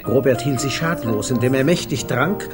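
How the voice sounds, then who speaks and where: A man's voice: medium pitch, moderate pace, close to an everyday voice. A man narrating a 1950s German radio comedy in a wry, literary storytelling manner. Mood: wry, amused. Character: wry male narrator of a bohemian party comedy. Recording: 1950s radio recording, faint music behind.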